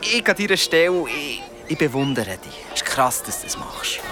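An earnest, awkward young groom in an audio drama. He speaks haltingly in a fairly high male voice, with music clearly heard underneath. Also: very animated. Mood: grateful, awed. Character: nervous, earnest, awkwardly grateful young bridegroom.

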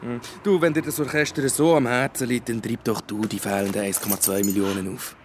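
An actor plays a cynical, brusque man from city hall in a radio drama. He talks very fast, flippant and dismissive, at an average pitch. Street noise is clearly audible around him. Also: fairly even intonation, lightly acted. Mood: smug, cold. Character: brusque, smug, cynical city culture official. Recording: clear urban traffic noise.

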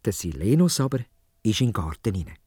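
A man with a Swiss German accent telling a children's story in dialect, expressive and playful. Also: fairly low pitch, fast, heavy background noise.

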